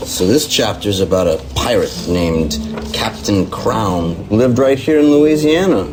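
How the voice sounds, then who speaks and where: An actor playing a gentle, protective father telling a bedtime story in a theatrical, soothing way. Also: on the low side, expressive, a little slow. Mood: storytelling. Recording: loud music over the voice.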